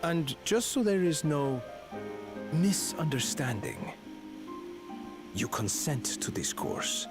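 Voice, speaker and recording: Slow, oily scheming retainer. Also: male voice, fairly high pitch, big pitch swings. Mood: quietly sinister. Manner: oily, deliberate, grandiose. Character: scheming, flattering male retainer, ambitious and ruthless. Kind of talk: video game cutscene acting. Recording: game music under the voice.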